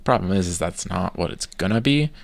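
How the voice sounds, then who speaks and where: Low gravelly guy on a podcast, dry close mic, opinionated and stop-start. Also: animated, dry recording. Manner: opinionated, halting, many restarts. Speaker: podcast co-host. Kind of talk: casual chat.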